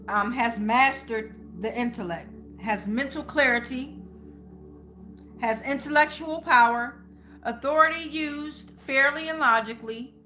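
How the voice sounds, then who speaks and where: A woman's voice: on the high side, pushed and bright. A woman hosting a live tarot reading, talking informally to viewers with repeated phrases and frequent check-ins. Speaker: tarot reader and live stream host.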